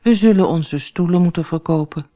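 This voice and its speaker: A woman's voice: fairly low pitch, moderate pace, dark tone, lightly acted. A woman voicing a gloomy, worried old husband in a storybook fairy tale, anxious and resigned. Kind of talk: storybook character voice.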